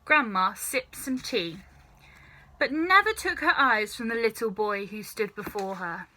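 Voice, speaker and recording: A woman reads a children's story aloud as storyteller. Her voice is fairly high and bright. She narrates expressively at a slow, measured pace. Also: animated, slight background noise.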